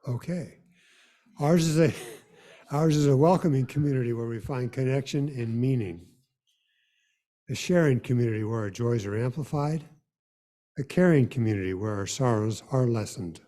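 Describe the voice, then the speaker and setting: Guy leading a church service, informal welcome and announcements, kinda halting and off the cuff. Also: medium pitch, warm tone. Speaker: worship leader.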